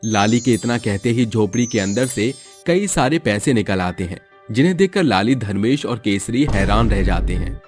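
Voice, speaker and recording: A male storyteller reads scripted narration in a low voice. The delivery is that of a moral tale, and music plays behind him. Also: lively intonation, normal speed, few pauses, a little mellow.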